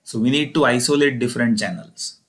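A man's voice: mid-range voice, animated, brisk, gravelly. Indian-accented man giving a technical lecture. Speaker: engineering lecturer. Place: voice-over recorded over slides.

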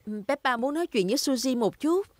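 Patient cartoon mama pig, a bit high, dry sound. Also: female voice, expressive, fairly quick, dry recording. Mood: gentle, helpful. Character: kind, patient adult mother pig. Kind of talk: animated children's show dub.

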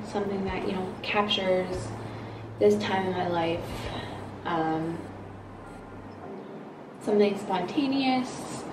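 A young woman in her twenties, just awake and a bit groggy, chatting to camera on her balcony in a relaxed, rambling way with lots of fillers. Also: medium pitch, echoey room, distant mic, background noise. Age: 20s. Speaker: vlogger. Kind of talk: casual chat to camera. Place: apartment balcony, outdoors.

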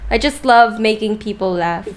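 A woman's voice: mid-range voice, moderate pace, a little hiss or hum. A young woman on a headset in a small room, casually chatting with strangers in a playful, teasing way with lots of laughs. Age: young adult. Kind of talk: casual video chat. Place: small bedroom streaming room. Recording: music playing behind.

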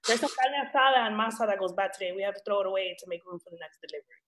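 A woman's voice: mid-range voice, rapid-fire, a bit bright, close to an everyday voice. A woman playing a brusque, sassy kitchen cook who mixes English with Spanish, in a table read. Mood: bossy. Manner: brusque, teasing. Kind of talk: casual dramatic table read. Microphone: video call.

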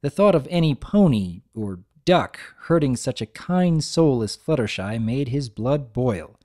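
A narrator reads fiction as a dramatic storybook performance. The pitch is middling and moves around a lot, giving an animated scripted delivery. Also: measured pace.

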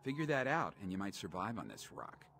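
An actor playing a gruff, hostile boss in a video game, curt and condescending toward newcomers. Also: male voice, lowish voice, sing-song, a little fast, fairly bright tone, a little hiss or hum, exaggerated voice. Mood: scornful. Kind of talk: dramatic video game dialogue.

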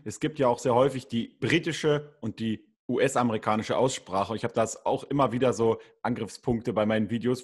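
A man's voice: mid-range voice, husky. A young man hosting a casual video interview, asking long, rambling questions into a desk microphone in a small room. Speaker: YouTube interview host. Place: small room at home, indoors. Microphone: studio condenser mic on desk.

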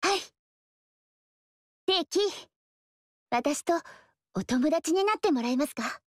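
An actress voicing a polite, eager young woman archer in a video game, talking in a soft, earnest way. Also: high voice, lively intonation, slow pace, no room sound, studio quality. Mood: earnest gentle. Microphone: studio mic, dry.

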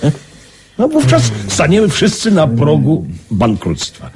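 An actor in a radio play playing an anxious, wealthy businessman, hesitant and pleading, with a husky voice. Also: fairly high pitch, huge pitch swings, unhurried, background noise. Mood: grave, intense. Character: anxious wealthy diamond-mine businessman bargaining for a talisman. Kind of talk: radio drama acting.

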